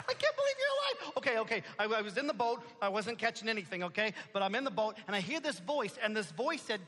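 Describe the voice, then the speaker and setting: An excitable, rambling apostle-fisherman who thinks out loud and bounces from thought to thought, as a man plays him in a comic stage skit. His voice sits high and bright and swings widely in pitch. It rings through a reverberant hall over faint background noise. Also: heavily acted. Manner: rambling, talks to himself out loud, playful.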